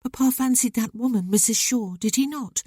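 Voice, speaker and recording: A woman's voice: fairly high pitch, normal speed, husky, no echo, clean studio sound, pitched above the performer's own voice. A woman voicing an outspoken, sensitive young woman who talks frankly to her brother. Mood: earnest, wistful. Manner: lively, talkative, candid.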